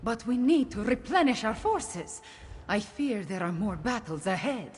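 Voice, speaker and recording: A woman playing a proud, impatient cat-woman heir to a throne in a fantasy video game, speaking in a forceful, regal manner. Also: a little high, animated, a little slow. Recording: reverberant hall, noise behind.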